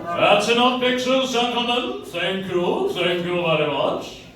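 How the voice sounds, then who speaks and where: A man acts a hearty, blustery store boss in a stage radio play. His high voice swings dramatically and takes its time. The sound is distant and full of room echo. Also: big pitch swings, a little slow, a bit bright, full character voice. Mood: jovial, blustery. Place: coffeehouse stage, picked up from the audience. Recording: echoey faintly noisy room, distant microphone.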